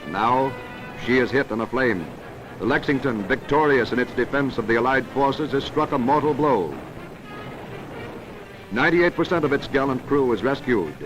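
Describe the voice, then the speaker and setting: American guy doing old 40s war newsreel narration, big dramatic delivery, mid pitch, music behind him. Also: low-quality recording. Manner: dramatic, declamatory, patriotic. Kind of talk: 1940s wartime newsreel narration, scripted. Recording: vintage 1940s newsreel soundtrack.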